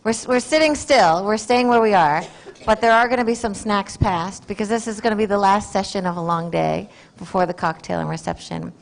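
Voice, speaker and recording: A woman's voice: mid-range voice, fairly quick, vocal fry. A woman moderating a conference session, speaking in a friendly, encouraging, conversational way to the audience. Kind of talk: event moderation.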